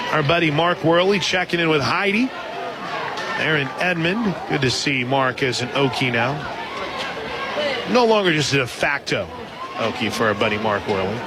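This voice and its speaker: A man doing live radio play-by-play of a softball game, mixing pitch calls with shout-outs to listeners. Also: very noisy. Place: outdoor stadium broadcast position. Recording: broadcast with loud crowd and background sound. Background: loud crowd and music.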